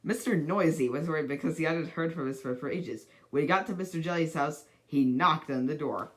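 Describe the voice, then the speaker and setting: A person reading a children's storybook aloud in a deliberate, dramatic way, as an amateur narrator. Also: medium pitch.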